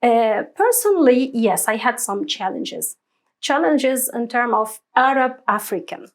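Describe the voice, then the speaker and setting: A woman in her thirties from Tunisia speaks English with a Tunisian Arabic accent. Her voice sits fairly high, and she pauses often with fillers. She laughs often as she talks in a relaxed interview. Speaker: guest. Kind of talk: conversational interview.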